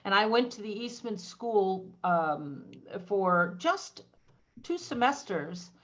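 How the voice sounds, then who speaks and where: A woman's voice: mid-range voice, expressive, slow pace, a bit bright. An older woman co-hosting an interview, chatting casually and expressively with her guest. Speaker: co-host. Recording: dull lo-fi audio.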